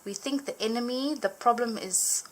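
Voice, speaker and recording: A young woman whose first language is Afrikaans, chatting casually as she flips through her journaled Bible, full of little fillers and gentle enthusiasm. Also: a little high, moderate pace. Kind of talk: casual show-and-tell narration. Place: indoors at a table, hands over an open book.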